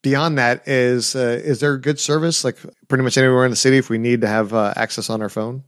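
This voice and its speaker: A man's voice: mid-range voice, steady tone, a little fast, no echo, clean studio sound. A man hosting a casual interview, asking conversational questions and often restarting his sentences. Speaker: podcast host.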